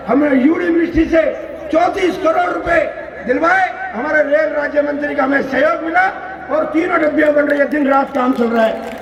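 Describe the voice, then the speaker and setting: A man delivers a political rally speech in a very high-pitched, declamatory voice. He repeats phrases and fires rhetorical questions at the audience. The sound is distant and heavily reverberant, with a crowd around. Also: slight background noise. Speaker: politician, election candidate giving a campaign speech. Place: large public political gathering.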